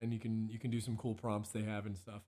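Hoarse, mellow-voiced man chatting casually. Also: fairly low pitch, monotone, quick pace. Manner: rambling, self-interrupting. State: hoarse from allergies, clearing throat. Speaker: musician, songwriter guest. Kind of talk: casual interview chat.

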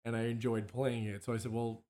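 A hoarse man, a musician guest, chatting casually on a local radio show with lots of ums and restarts. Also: medium pitch, level tone, brisk, warm tone. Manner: rambling, many ums and restarts.

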